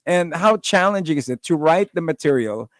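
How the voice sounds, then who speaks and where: A man in his forties hosts a radio show, chatty and quick with short encouraging replies. His voice sits a little above the usual male pitch. The studio sound is dry and close. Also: expressive, dry recording. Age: 40s. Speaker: radio host. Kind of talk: casual interview chat.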